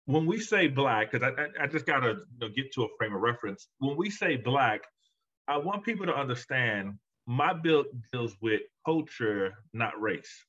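A man's voice: lively intonation, moderate pace, fairly bright tone. Emphatic man on lo-fi call audio. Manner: emphatic, rising in pitch when stressing points. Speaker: education consultant, guest author. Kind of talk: conversational interview answers.

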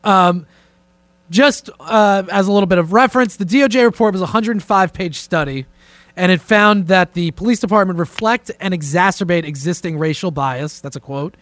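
A man's voice: high voice, moderate pace, a bit bright, no echo. A man hosting a podcast in a casual, profane, joking style, steering the conversation. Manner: informal, joking, takes charge of the talk. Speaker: podcast host. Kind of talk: casual profane talk show chat. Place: indoors, remote conference call set up with camera.

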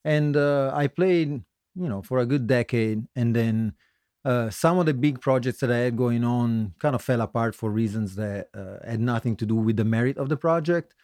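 A middle-aged man from Italy with an Italian accent, telling his story casually as an interview guest, halting and self-correcting with a joking streak. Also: medium pitch, a little mellow, no room sound, clean studio sound. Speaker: guest musician, singer-songwriter.

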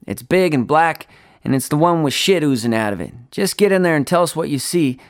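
A man's voice: fairly high pitch, animated, fairly quick, smooth, dry recording, studio quality, pitched above the performer's own voice. A man voicing a brash, impatient, foul-mouthed young fibber who talks in a pushy, colloquial, emphatic way. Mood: bossy.

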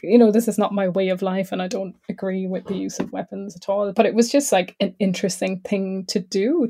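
A woman's voice: mid-range voice, dark tone. A woman artist talking reflectively in an interview, with lots of hesitations and filler words. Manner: reflective, hesitant, many ums. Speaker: artist guest. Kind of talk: conversational interview.

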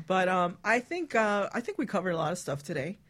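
A woman's voice: fairly low pitch, big pitch swings, brisk, a bit bright. A woman hosting a casual, frank talk show, putting questions to the panel and steering the chat. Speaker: radio talk show host.

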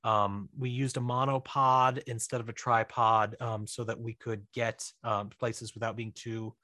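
A man speaking as an interview guest, telling behind-the-scenes filmmaking stories in a casual, animated, chatty way. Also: mid-range voice, measured pace, no echo, clean studio sound.

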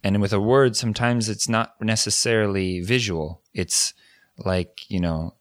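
A man's voice: on the low side, fairly even intonation, measured pace, no echo, clean studio sound. A man co-hosting a podcast on language learning, explaining ideas in a thoughtful, casual way with frequent restarts. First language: English. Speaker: podcast co-host. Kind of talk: casual educational chat.

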